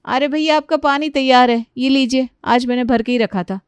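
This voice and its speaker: Casting: female narrator as plain-spoken cartoon mother-in-law, wry and obliging, highish, dry sound. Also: moderate pace, clean tone, no room sound, close to an everyday voice. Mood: warm, obliging. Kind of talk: Hindi cartoon story character voice.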